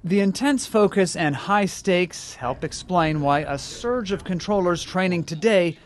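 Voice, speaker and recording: A man's voice: high voice, animated, a little slow, a little hiss or hum. A man with an American accent delivering scripted television news narration as a reporter.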